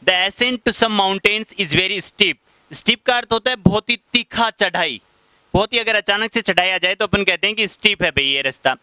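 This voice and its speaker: A male teacher in his thirties with a Rajasthani accent, whose high, crisp voice is picked up dry and close by a headset mic. He explains vocabulary patiently at the whiteboard and slows down whenever he reads out English example sentences. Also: pushed and bright, no echo, rough recording. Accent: Rajasthani Hindi. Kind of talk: classroom lecture explaining English vocabulary in Hindi. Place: classroom in front of a whiteboard.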